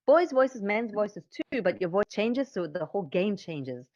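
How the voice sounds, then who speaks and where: A woman explaining things conversationally as a voice coach, with an expressive, emphatic way of talking. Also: mid-range voice, measured pace, no room sound, highs cut off.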